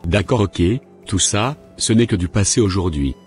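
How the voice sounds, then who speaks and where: Low-voiced devout dad played by a man. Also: lively intonation, normal speed, darkish tone, faint music behind. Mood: earnest, fatherly. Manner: earnest, fatherly. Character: devout, encouraging father of a young son.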